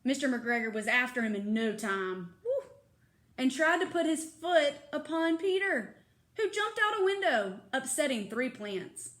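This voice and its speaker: A woman reading a children's story aloud with dramatic expression, moving between hushed tones and excited lifts. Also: sits high, normal speed.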